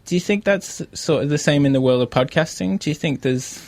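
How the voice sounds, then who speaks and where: A man's voice: a little high, fairly quick, dry recording. An Australian man hosting a casual interview podcast from a radio studio.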